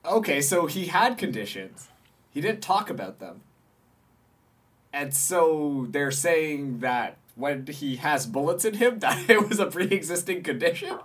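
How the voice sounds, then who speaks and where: A man's voice: fairly high pitch, lively intonation, measured pace, slightly rough. A man co-hosting a comedy podcast in casual chat, joking and laughing often. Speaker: podcast co-host.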